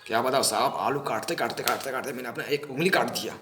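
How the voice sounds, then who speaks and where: A man's voice: medium pitch, fast talker, reverberant, natural voice. A man performing an audition monologue as a small-time pickpocket, anxious and servile, pleading innocence to police in whiny, repetitive street slang. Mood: pleading, self-deprecating. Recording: noisy amateur recording with clicks.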